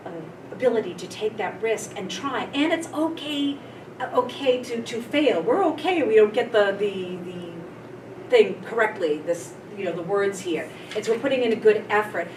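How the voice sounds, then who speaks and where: A woman drama teacher leading a hands-on workshop, coaching and demonstrating in a theatrical, animated way. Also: mid-range voice. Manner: theatrical, animated, demonstrates lines in exaggerated delivery. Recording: echoey room, distant mic, noisy background.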